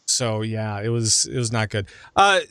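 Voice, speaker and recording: A man's voice: on the low side, lively intonation, normal speed, bright, forceful tone, clear, resonant tone, dry recording, studio quality. A man hosting a gaming podcast in casual, conversational chat, steering the discussion with questions to the others. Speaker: podcast host.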